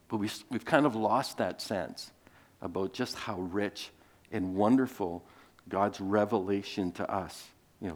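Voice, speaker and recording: A man's voice: deep voice, a little mellow. A man whose first language is Greek, preaching a sermon in a rambling way, with many restarts, fillers and small chuckles. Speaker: pastor.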